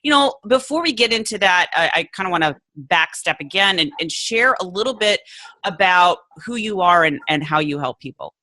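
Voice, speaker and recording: A woman hosting a podcast interview, enthusiastic and quick to laugh. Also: on the low side, expressive, bright, forceful tone, dry recording. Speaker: podcast host.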